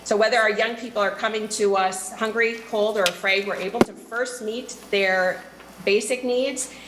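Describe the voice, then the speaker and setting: A woman's voice: medium pitch, a bit bright, reverberant, slight background noise, poor audio. A woman giving prepared testimony for her youth center at a legislative hearing, earnest and fluent with the odd filler. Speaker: nonprofit director testifying.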